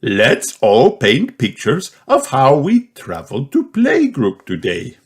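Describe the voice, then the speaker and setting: Man putting on a high, kindly lady gazelle teacher. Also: huge pitch swings, slow pace, breathy edge, heavily acted. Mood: encouraging. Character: kindly female gazelle schoolteacher. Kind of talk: children's storybook character voice.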